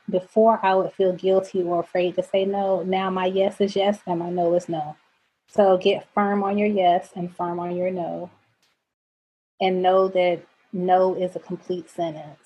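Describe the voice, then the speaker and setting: A middle-aged woman, a coach and speaker, talking earnestly as a podcast guest about faith and boundaries, goofy and quick to laugh. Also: on the low side, mellow, breathy edge, rough recording. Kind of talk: faith-based personal talk.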